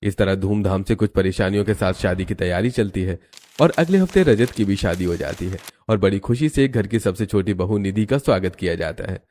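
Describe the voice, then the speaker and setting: Low-voiced man narrating a story. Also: brisk, darkish tone, slight background noise. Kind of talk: scripted cartoon story narration.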